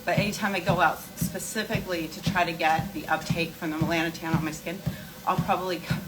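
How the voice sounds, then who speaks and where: Emphatic woman chatting over loud music. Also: lowish voice, steady tone, fast, poor audio. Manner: emphatic, repeats key points, uses rhetorical questions. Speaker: skincare and wellness livestream host. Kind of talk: casual explanatory livestream chat, answering viewer questions.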